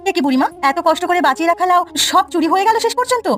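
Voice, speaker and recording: A woman's voice: very high voice, expressive, rapid-fire, a bit bright, soft background music. A woman voicing a simple younger village woman in a cartoon folk tale, pleading and questioning. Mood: concerned.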